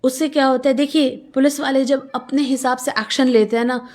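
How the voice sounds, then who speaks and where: A woman's voice: high-pitched, fairly even intonation, brisk, darkish tone. A woman airing a complaint in an interview, emphatic and argumentative, using rhetorical questions and some English phrases. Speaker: local resident interviewed about a civic complaint.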